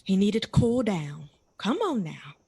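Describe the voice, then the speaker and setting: A woman's voice: medium pitch, big pitch swings, measured pace, mellow, slightly rough, full character voice. A woman acting in an audio drama as a loving, insistent, long-suffering middle-aged mother of a grown son. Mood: pleading, firm.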